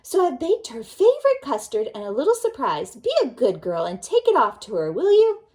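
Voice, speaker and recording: A woman voicing a caring mother in a storybook read-aloud, speaking brightly and coaxingly. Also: high-pitched, huge pitch swings, moderate pace, voice pushed up. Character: caring mother sending her daughter off.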